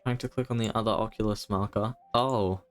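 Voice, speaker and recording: A man's voice: fairly low pitch, fairly even intonation, fairly quick. A man doing casual live video game commentary, thinking aloud with playful exclamations. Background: game music.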